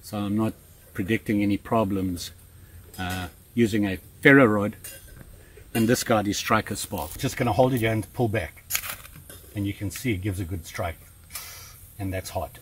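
A man in his sixties giving a relaxed, chatty hands-on knife review outdoors in the woods, with plenty of fillers and restarts. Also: lowish voice, normal speed, pauses often, slight background noise. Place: outdoors in woods, kneeling in the undergrowth.

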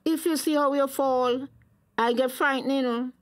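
An actress plays a middle-aged Tobagonian mother in a radio drama. She is anxious and fussing, with a fairly high voice in a dry recording. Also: fairly even intonation, normal speed, dry recording, close to an everyday voice.

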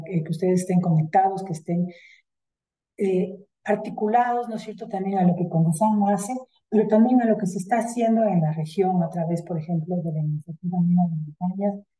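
A middle-aged woman giving closing remarks on a video call, measured and hesitant, with many fillers. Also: medium pitch, warm tone, low-quality recording. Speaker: institutional representative. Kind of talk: closing remarks at an online event. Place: indoors with virtual background.

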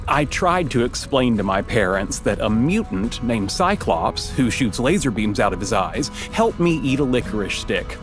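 A man's voice: mid-range voice, very animated, moderate pace, few pauses, lightly acted. An actor playing an adult narrator looking back on his childhood, with a dry, precise, wry delivery over music. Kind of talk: scripted sitcom voice-over narration. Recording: voice-over with clear music behind.

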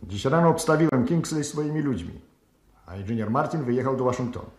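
A man's voice: medium pitch, lively intonation, brisk, darkish tone, lightly acted. A man playing a stern colonel, roomy sound. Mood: curt, suspicious. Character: stern, irritated colonel, anti-communist security chief. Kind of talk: 1960s Polish radio drama acting. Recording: roomy vintage radio studio recording.

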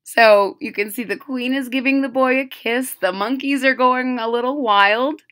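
A middle-aged woman reading a children's picture book aloud with deliberate, theatrical phrasing. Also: on the high side, fairly bright tone. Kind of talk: expressive children's read-aloud. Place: small room at home.

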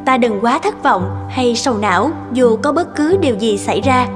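A woman reading scripted moral narration for an animated story. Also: on the high side, moderate pace, long reverb tail, over music.

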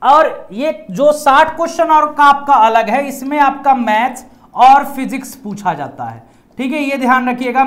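A man's voice: very high voice, fairly bright tone. A man in his thirties, an exam coaching teacher, explaining a job notice to students in a casual way, often repeating key points. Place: indoor studio in front of a projected screen.

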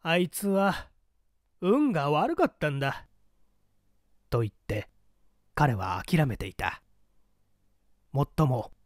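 A man's voice: medium pitch, darkish tone, no room sound, clean studio sound. A man reading a mystery story aloud as a dramatic scripted narration. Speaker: audiobook narrator.

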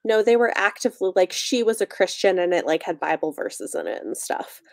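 A young woman in casual book chat on a livestream, informal and halting as she thinks aloud. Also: medium pitch, sing-song, creaky, no room sound, studio quality. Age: young adult. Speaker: book discussion co-host. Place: cosy room with bookshelves at home, indoors. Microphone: desk microphone on a video call.

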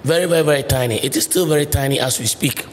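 A man's voice: fairly high pitch, racing, a little hiss or hum. A middle-aged man from Ghana with a Ghanaian accent, telling his story emphatically as an interview guest. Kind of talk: conversational storytelling. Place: indoor room. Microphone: podcast microphone on boom arm. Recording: echoing room with long reverb.

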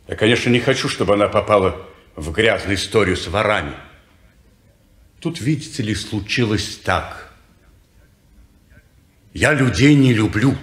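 An actor playing a weary, bitter, reserved man in a 1950s radio play, speaking in a halting, guarded way. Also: low voice, echoey room.

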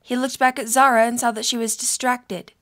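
Lady narrating a story from a script, voice on the high side. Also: flat delivery, a little fast, fairly bright tone.

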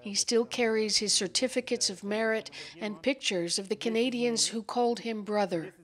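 A woman correspondent narrating a scripted news report in a measured, solemn manner. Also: a little high, steady tone. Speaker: foreign correspondent.

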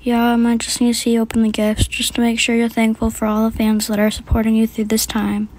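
Woman as fame-hungry mom: high, pushy, scolding. Homemade skit. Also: completely flat delivery, fast, darkish tone, close to an everyday voice, poor audio. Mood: coaxing. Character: fame-hungry mother, pushy and self-centered.

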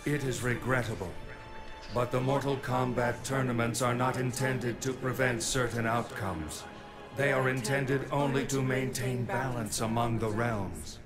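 An actor playing a solemn, aloof ancient god in a video game, giving formal, detached pronouncements. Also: male voice, medium pitch, steady tone, unhurried, over loud music, natural voice. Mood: impassive. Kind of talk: dramatic video game voice acting.

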